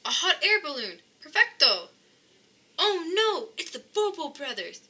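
A woman's voice: high-pitched, sing-song, measured pace, sharp tone, pitched above the performer's own voice, heavily acted. A woman voicing an eager, upbeat boy animal rescuer in a children's storybook, calling out to the listener. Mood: alarmed. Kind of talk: children's storybook read-aloud.

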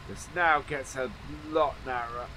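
A man's voice: mid-range voice, moderate pace, pushed and bright, slight background noise, rough recording. Stuffy, slightly nasal man gaming. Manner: easygoing, thinking aloud as he works. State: stuffy from hay fever. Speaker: farming simulator role-play vlogger. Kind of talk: casual commentary while playing.

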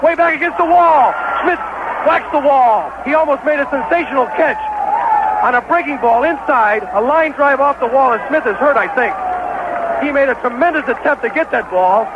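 An American man calling baseball play-by-play on 1970s radio as an excitable, partisan announcer. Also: very high voice, normal speed, over a noisy crowd. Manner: excitable, partisan home-team caller. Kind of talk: 1970s live radio sports commentary. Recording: dull, noisy vintage radio broadcast.

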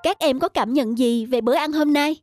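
A woman's voice: high voice, moderate pace, a little mellow, dry recording, studio quality, close to an everyday voice. Patient, encouraging cartoon schoolteacher played by a woman, instructive delivery, music underneath. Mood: gentle teaching. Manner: clear, instructive, addressing children. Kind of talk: children's cartoon dubbing. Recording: music behind the voice.

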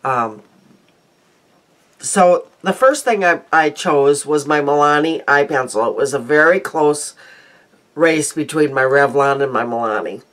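Slow, rambling older woman with a congested nose. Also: sits low, expressive. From Midwestern United States. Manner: rambling, self-interrupting, chatty. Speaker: beauty vlogger. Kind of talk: casual chatty vlog. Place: small cluttered room indoors. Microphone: webcam at desk.

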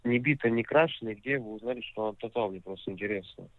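A man's voice: on the low side, quick pace, dry recording. A man working as a car dealer, asking insistent questions in a phone conversation over a narrowband line.

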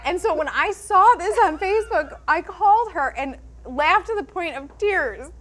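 A woman news anchor, animated and giggly in chat, reading in a TV studio. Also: very high-pitched, normal speed.

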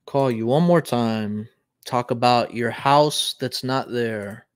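A man's voice: medium pitch, slow pace, clean tone. A man in his thirties from Puerto Rico, hosting a live show and explaining casually while thinking aloud with frequent ums. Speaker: host. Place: home office, indoors.

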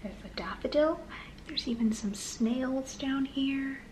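A woman reading aloud to young children in a sing-song manner with gentle asides. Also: high voice, takes it slowly, a little hiss or hum. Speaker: children's storytime host and reader. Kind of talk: read-aloud storytime for toddlers, addressing young children. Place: small living room, on a sofa, indoors.

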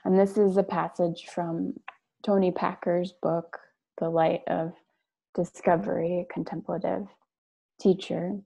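A woman leading a guided meditation, speaking and reading aloud in a gentle, measured way with long pauses between short phrases. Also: fairly low pitch, little pitch movement, vocal fry, husky. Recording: dull lo-fi online call audio.

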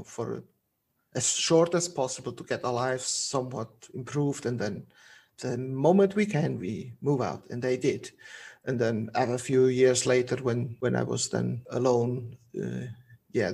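A man from Portugal whose speech carries a Swiss German accent, his voice gravelly and a touch husky. He talks casually and haltingly as a podcast guest, often restarting a phrase before finishing it. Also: mid-range voice, darkish tone.

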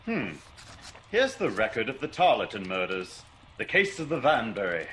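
An actor playing a wry, teasing gentleman detective in a radio drama, with a coaxing, theatrical delivery. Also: mid-range voice, big pitch swings, normal speed, a little hiss or hum. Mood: coaxing, intrigued.

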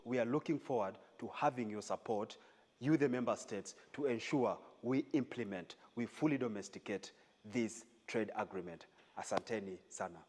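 A man's voice: on the low side, moderate pace, over music. A man, a youth coordinator, speaking in a short video testimonial. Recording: noisy hall with long echo.

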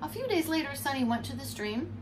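Expressive woman reading a storybook, very lively pitch. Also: a little fast. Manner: expressive, explains words to children. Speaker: preschool teacher. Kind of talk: storybook read-aloud for young children. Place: furnished room at home, indoors. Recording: clear background noise.

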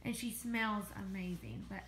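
Chatty Southern mom filming on a phone. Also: female voice, medium pitch, animated, a little slow, creaky, a bit bright. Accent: Southern American. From Southern United States. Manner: chatty, chiming in to add details. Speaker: mother filming her daughters. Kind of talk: casual family chat. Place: living room indoors. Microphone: handheld phone camera, speaker off-screen. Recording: echoey room with faint noise.

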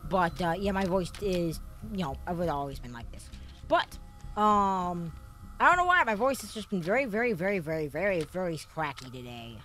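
A streamer with a voice prone to cracks, talking rambly through a horror game with many fillers. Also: female voice, fairly low pitch, expressive, moderate pace, fairly bright tone, soft background music. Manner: rambling, filler-heavy, jumpy. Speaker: gaming streamer. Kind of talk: let's play commentary.

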